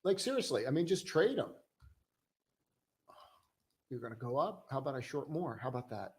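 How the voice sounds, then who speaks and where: A young man casually hosting a live stock-trading stream, chatting loosely and joking with viewers in a relaxed, tired way. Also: mid-range voice, normal speed, husky. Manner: loose, joking, full of restarts and "dude". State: tired, low on coffee.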